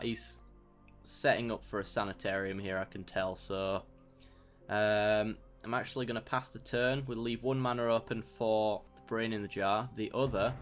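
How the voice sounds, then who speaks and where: A young man with a slightly croaky voice from a sore throat, casually commentating a digital card game while thinking aloud. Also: on the low side, a bit bright. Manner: thinks aloud, mild self-talk, bursts of mock outrage. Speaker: gameplay commentator. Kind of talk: casual let's-play commentary.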